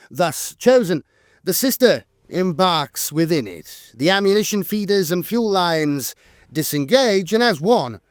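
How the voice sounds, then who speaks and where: A man's voice: fairly high pitch, no echo, clean studio sound. A man narrating scripted lore in a solemn, formal and dramatic way.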